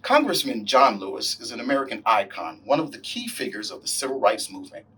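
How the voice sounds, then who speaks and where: A man reading a story aloud in an expressive, deliberate way, acting out the dialogue as he goes. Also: mid-range voice, recorded from a distance, a little hiss or hum, poor audio. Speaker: volunteer story reader, hospital staff member. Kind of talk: reading a graphic novel aloud.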